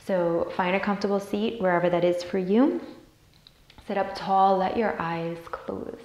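A woman leading a guided meditation as a teacher, soothing and deliberate as she repeats phrases. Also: mid-range voice, a little mellow. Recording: roomy, echoey sound.

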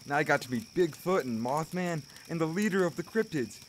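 A man playing an earnest, excitable boy scout raised by wolves in an audio drama. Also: fairly high pitch, brisk, slight background noise, close to an everyday voice. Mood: awed.

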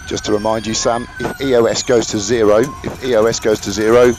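A man's voice: on the low side, level tone, a little fast. A man working as a race engineer, giving encouraging, repeated instructions over team radio. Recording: noisy race radio, vehicle noise behind.

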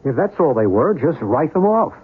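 An actor playing a calm, earnest believer in UFOs in a 1970s radio drama, speaking with measured mystical conviction. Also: male voice, a little high. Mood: probing, patient. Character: calm earnest UFO believer, narrating and advising a friend. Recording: muffled, noisy vintage radio broadcast.

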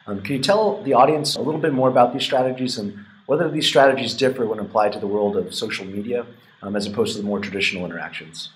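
A man's voice: medium pitch, fast, poor audio. A man in his twenties asking prepared interview questions over a video call. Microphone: video call, computer at desk distance. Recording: faint ambient room noise.

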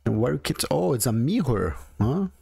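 A man's voice: medium pitch, very animated, normal speed, gravelly, mellow, breathy edge, dry recording, studio quality. A man in his forties with a strong Brazilian Portuguese accent, chatting and thinking aloud as he comments on his own card game. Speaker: gaming video creator. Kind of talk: casual gameplay commentary.

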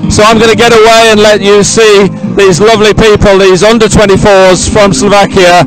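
A man's voice: high-pitched, flat delivery, normal speed, music in the background, poor audio. A middle-aged man presenting live outdoors at a sports event on a handheld mic, chatty and rambling, often repeating himself as he fills time. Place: outdoors by a regatta podium. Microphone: handheld microphone.

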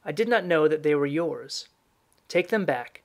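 A man's voice: fairly high pitch, expressive, a little slow, creaky. A grave storybook reaper, played by a male narrator, stern and formal. He makes firm declarations in a slightly raised male voice, as the gardener of human lives. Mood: solemn.

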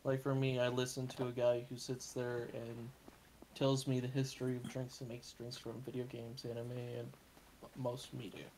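A man with a booming voice talks his way through a game stream, loose and rambling, cursing casually. Faint game ambience sits under his voice. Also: completely flat delivery, moderate pace, darkish tone, a little hiss or hum, low-quality recording. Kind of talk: casual gaming stream chat.

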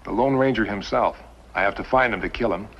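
Husky, low-voiced western henchman. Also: brisk. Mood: doubtful. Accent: American. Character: wary male outlaw henchman, doubtful but willing accomplice. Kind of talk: 1950s TV western acting. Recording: dull old 1950s television soundtrack.

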